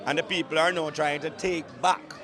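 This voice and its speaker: A man with a fairly high voice talks in a reflective, thoughtful way. Music plays clearly behind him. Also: steady tone, moderate pace. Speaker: interviewee. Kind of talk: casual conversation.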